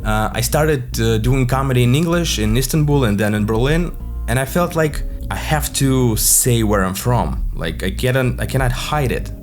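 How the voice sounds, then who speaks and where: A young man with a thick Russian accent talking alone on his podcast, halting with many fillers and a wry, dark humor. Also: mid-range voice. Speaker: podcast host, comedian. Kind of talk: reflective solo podcast monologue. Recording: music playing under the voice. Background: guitar music.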